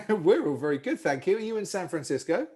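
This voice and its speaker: Casting: male host, fairly high voice, breezy jokey patter, video call mic. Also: sing-song, moderate pace, darkish tone. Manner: jokey, upbeat broadcaster patter. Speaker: podcast host. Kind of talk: lively hosting chat with remote guests.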